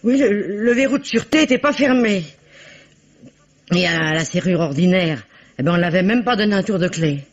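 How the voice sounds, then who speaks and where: A woman's voice: medium pitch, animated, moderate pace. An actress playing a flustered, tearful cleaning woman in a 1950s radio drama. She stammers, hesitates and blurts things out. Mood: chatty. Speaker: witness. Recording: dull old radio drama recording.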